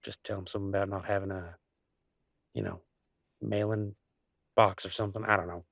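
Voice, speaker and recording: A man's voice: low-pitched, flat delivery, a little fast, fairly bright tone, no room sound. An easygoing werewolf boyfriend, acted by a man in an intimate audio roleplay. He rambles in a folksy, affectionate, teasing way. The sound is close and dry. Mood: reflective, reassuring. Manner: folksy, rambling, playful drawl with colloquial turns. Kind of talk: intimate one-sided roleplay audio, conversational acting.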